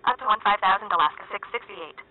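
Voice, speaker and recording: A woman voicing a businesslike airline pilot in a simulator game, giving brisk procedural readbacks through a radio filter. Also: medium pitch, no room sound. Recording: tinny aircraft radio with faint static.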